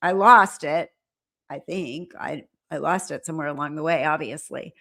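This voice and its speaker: A woman from the United States with a General American accent, hosting a livestream and chatting in a rambling, animated way. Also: sits low, moderate pace. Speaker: livestream host. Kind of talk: casual livestream chat.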